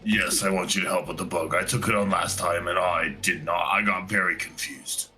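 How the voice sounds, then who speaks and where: Male player, low voice, reassuring, music bed clear. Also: fairly even intonation, normal speed.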